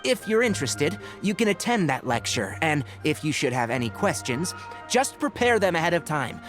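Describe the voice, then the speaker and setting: An actor playing a patient young male ranger scholar in a game, measured and explanatory. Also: on the high side, very animated, fairly bright tone, soft background music. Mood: polite. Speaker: game character.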